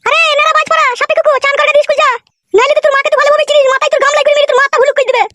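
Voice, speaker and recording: A shrill village mum from a comic cartoon nags and scolds. She is extremely high-pitched and very fast, with a sharp tongue. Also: level tone, heavy background noise. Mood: nagging, scolding.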